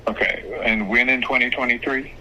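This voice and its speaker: A man speaking as an attorney, giving advice on a phone call in a measured, hesitant, explanatory way. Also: medium pitch, steady tone, pushed and bright. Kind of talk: phone consultation, casual advice. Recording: phone call with faint noise.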